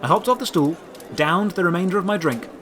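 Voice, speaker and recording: A man's voice: high-pitched, animated, brisk, a bit bright, slight background noise. A man narrating a scripted first-person adventure story, with outdoor ambience behind him. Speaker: narrator and creator of an audio drama.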